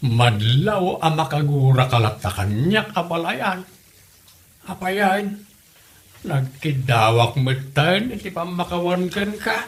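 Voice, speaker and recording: Man acting as a slow, aggrieved old Ilocano father. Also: on the high side, sing-song. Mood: defensive, plaintive. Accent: Filipino, Ilocano. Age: older. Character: aggrieved elderly father, fond of liquor, pleading innocence. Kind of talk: comedy radio drama acting.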